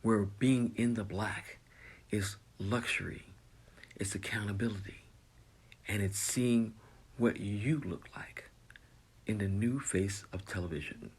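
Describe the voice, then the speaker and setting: A man reading a television network promo as a voice-over, slow and measured. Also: fairly low pitch, husky. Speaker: promo announcer.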